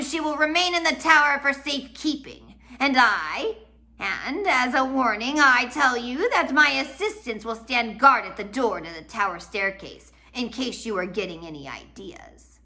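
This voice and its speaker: Storybook villain, played by a woman: a scheming man, animated swings in pitch, drawn-out taunts, false kindness. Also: full character voice. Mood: gloating. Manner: mock-kindly, taunting, drawn-out delivery.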